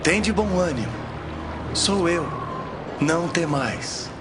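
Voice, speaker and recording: A reassuring holy teacher acted by a man in a Bible audio drama. His voice is somewhat high and unhurried. Clear noise of water and boat surrounds him. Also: expressive. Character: Jesus on the sea, reassuring adult male teacher.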